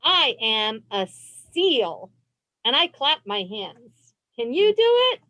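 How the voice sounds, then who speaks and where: A woman librarian reading a children's picture book aloud in an expressive, encouraging way. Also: on the high side, slow, a little hiss or hum, rough recording. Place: indoors on a video call.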